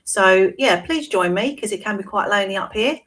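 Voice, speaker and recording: A woman, hosting a live-streamed talk show. Her voice is hoarse and croaky, and she talks in a chatty way, often checking in with "right?". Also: medium pitch, expressive, fairly quick, fairly bright tone. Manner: chatty, tags points with "right?". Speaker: wellbeing coach and show host.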